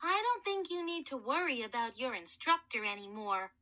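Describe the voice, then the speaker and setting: A woman's voice: high-pitched, sing-song, moderate pace, bright, forceful tone, exaggerated voice. A woman voicing a nervous young boy with a jumpy, breathless manner.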